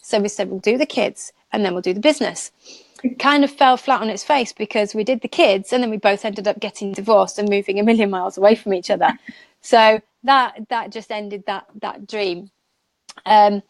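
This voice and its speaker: A woman's voice: mid-range voice, no room sound. Chatty woman, dry close sound. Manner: chatty, rambling, laughs often. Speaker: guest nail technician. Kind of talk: casual live chat.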